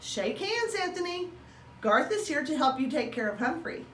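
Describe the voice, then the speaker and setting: A kindly, folksy storybook father, acted out by a woman reader, expressive and easily puzzled. The voice is high and swoops widely, heard in a roomy space. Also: normal speed. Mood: firm. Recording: roomy, distant mic, faint background noise.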